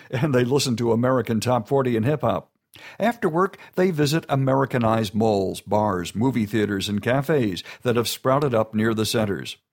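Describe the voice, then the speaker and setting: A man giving a steady, informative scripted narration. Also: medium pitch.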